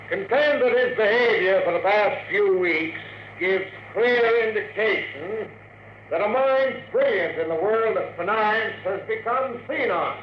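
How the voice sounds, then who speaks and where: An actor playing a formal, declamatory lawyer addressing a judge in a 1950s radio drama. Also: male voice, sits high, dull audio. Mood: formal, grave. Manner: measured, declamatory. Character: male courtroom lawyer, formal and rhetorical. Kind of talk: formal courtroom oratory in 1950s radio acting. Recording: echoey, slightly noisy old radio recording.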